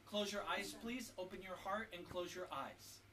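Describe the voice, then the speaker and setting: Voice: mid-range voice, moderate pace, a crowd in the background. A parent leading a family devotional and prayer, giving short instructions and prompts. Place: at home with family. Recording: noisy home video recording.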